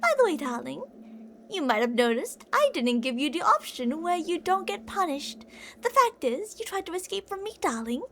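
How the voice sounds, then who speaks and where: Obsessive female yandere captor played by a woman, ASMR roleplay: high, very animated, theatrical, sugary endearments laced with menace. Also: moderate pace, slight background noise, full character voice. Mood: scolding, sweet. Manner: theatrical, teasing sing-song with endearments and threats. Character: older obsessive yandere woman kidnapper, possessive, menacing, sweet-talking.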